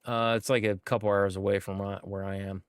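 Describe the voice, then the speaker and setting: A man's voice: on the low side, level tone, a little fast, clean tone, no room sound, clean studio sound. A man giving laid-back, rambling commentary while livestreaming a game, with many fillers. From United States. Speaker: gaming streamer. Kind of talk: casual gameplay commentary.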